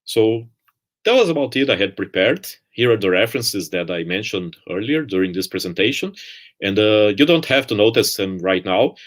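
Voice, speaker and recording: Low-voiced man giving a talk. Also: moderate pace. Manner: relaxed, hesitant, many "uh"s. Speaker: conference speaker. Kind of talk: presentation and Q&A answers.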